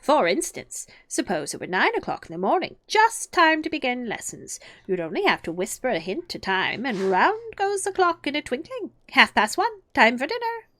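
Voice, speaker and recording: A woman doing a loud, excitable mad hatter. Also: normal speed, hardly any pauses, no echo. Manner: booming, high and drawn out.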